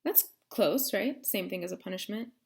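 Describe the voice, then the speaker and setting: A woman's voice: medium pitch, moderate pace, creaky. Woman speaking wry, Russian. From United States. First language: English. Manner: wry, deadpan asides, switching into English. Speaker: vlogger. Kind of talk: casual vlog monologue.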